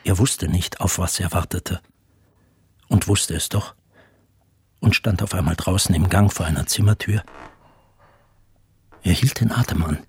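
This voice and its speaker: A man playing the grave storyteller, narrating a literary radio drama in measured phrasing. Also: low-pitched, slightly rough, heavily acted. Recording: studio voice with music behind.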